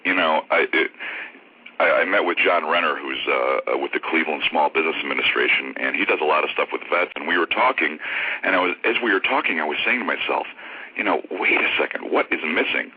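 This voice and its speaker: A man with an extremely deep, husky voice, congested from a head cold. He talks in a relaxed, halting way as a guest. The sound comes over a tinny, phone-like radio line. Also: dry recording. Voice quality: low voice. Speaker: franchise consultant guest. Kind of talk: casual phone interview.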